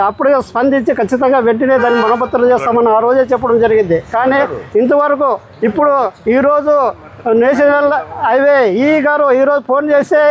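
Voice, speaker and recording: A man's voice: very high-pitched, poor audio. A man giving a formal, repetitive protest speech as a local party leader. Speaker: communist party town leader.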